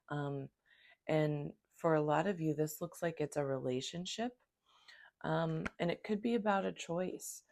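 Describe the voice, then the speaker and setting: Hoarse low-voiced woman reading tarot. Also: a little mellow. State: hoarse from a cold. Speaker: tarot reader.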